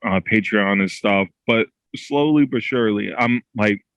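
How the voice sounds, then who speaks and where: A man guesting on a podcast, speaking haltingly with many restarts and filler words. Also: on the low side, a bit bright, poor audio. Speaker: podcast guest, comic creator. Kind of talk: casual interview chat.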